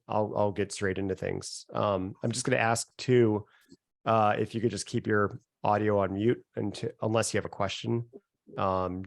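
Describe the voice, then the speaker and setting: Male presenter, stuffy and nasal from a cold, deep with gravelly fry, easygoing on a video call. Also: dry recording, clean studio sound. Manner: relaxed and conversational, chuckles at himself. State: getting over a cold. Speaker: software founder presenting a workshop. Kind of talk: casual live webinar presentation.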